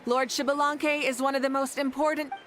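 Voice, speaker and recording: A woman voicing a poised, courteous young ruler, measured and a bit awkward, in a video game. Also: sits high, level tone, a bit bright, slight background noise. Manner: polite, measured, a little awkward. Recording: game audio with faint music.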